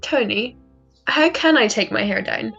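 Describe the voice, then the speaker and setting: A woman playing a loving young wartime wife in a 1940s-style radio drama, affectionate and gently wry. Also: a little high, animated, measured pace, creaky, breathy edge, full character voice. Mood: affectionate, playful. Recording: dull muffled audio, faint music.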